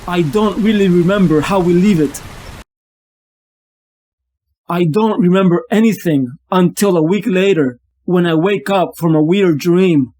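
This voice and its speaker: A man's voice: sits high, unhurried, dark tone. A man playing a tormented, ailing vigilante losing his sanity, narrating his story as a brooding, confessional monologue. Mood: brooding, troubled. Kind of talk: dramatic first-person comic narration.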